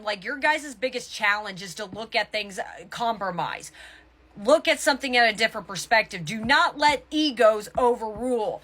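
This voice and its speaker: Bright, higher-voiced woman reading tarot. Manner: emphatic, halting and self-correcting. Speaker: tarot reader. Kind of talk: casual spoken tarot reading to viewers.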